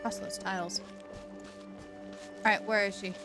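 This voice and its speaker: A woman's voice: mid-range voice, level tone, unhurried, over music. A woman streaming a game live, chatting to herself as she works out puzzles. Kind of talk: live gameplay commentary.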